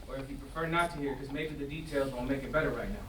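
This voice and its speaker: A man's voice: mid-range voice, fairly even intonation, fairly bright tone, lightly acted. A young man acting as a troubled teenage son pleading with his mother, in a staged play reading, speaking colloquially with insistent repetition. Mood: earnest, defensive. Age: young adult. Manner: colloquial, halting, repeating phrases insistently. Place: indoor staged reading before festival, distant mic. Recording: roomy, distant stage pickup, faint noise.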